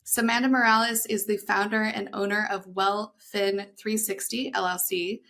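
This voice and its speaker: A woman's voice: mid-range voice, steady tone, normal speed, creaky, a bit bright. A woman hosting a podcast, reading an upbeat scripted intro and then asking her guest questions in an eager, conversational way. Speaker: podcast host.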